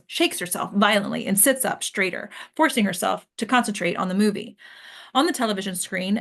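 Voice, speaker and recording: A woman reads stage directions from a screenplay. Her voice sits at an average female pitch. The delivery is scripted narration for an actors' table read. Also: fairly bright tone.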